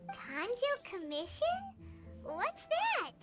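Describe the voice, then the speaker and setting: An actress voicing a tiny, excitable, curious childlike female fairy companion in a video game, with a squeaky voice. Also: takes it slowly, over loud music, heavily acted. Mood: shocked, curious. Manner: exclaiming, speaks of herself in third person.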